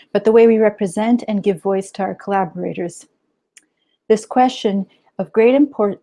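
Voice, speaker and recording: Woman in her 30s reading out a conference paper, soft mellow tone, steady and formal. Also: medium pitch. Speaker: anthropology PhD student. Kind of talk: read academic conference talk.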